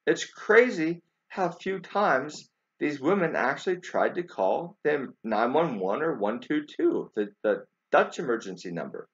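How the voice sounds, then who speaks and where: A man in his forties giving informal commentary to camera, haltingly, repeating words and posing emphatic questions. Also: on the high side, sing-song. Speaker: video commentator. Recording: dull lo-fi webcam sound.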